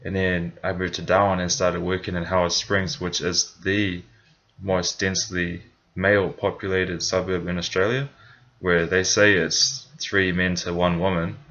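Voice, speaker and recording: A man's voice: deep voice, flat delivery, measured pace, fairly bright tone, muffled recording. A young man from New Zealand with a New Zealand accent, telling a personal story in a rambling, casual way, full of fillers and restarts. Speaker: vlogger.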